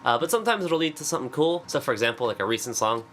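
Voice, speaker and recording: A man's voice: mid-range voice, sing-song, racing, a bit bright, slight background noise. A congested man with a cold, chatting casually and self-deprecatingly about songwriting with lots of fillers. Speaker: singer-songwriter. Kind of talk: casual vlog talk. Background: acoustic guitar.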